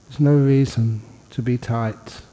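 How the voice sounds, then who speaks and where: A man guides a meditation in a relaxed, mellow voice of middling pitch. He talks informally, in short phrases separated by pauses, with a gently humorous touch. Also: big-room sound. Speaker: Buddhist monk, meditation teacher. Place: indoor meditation hall.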